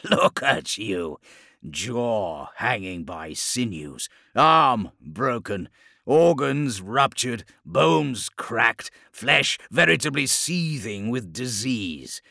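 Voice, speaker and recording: A man's voice: mid-range voice, animated, extremely slow, creaky, sharp tone, dry recording, clean studio sound, voice pushed up, full character voice. A man voicing a hulking, gloating plague warlord in an audiobook, with a guttural, bubbling voice and a grand, theatrical delivery. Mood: mocking admiration. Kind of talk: audiobook villain acting.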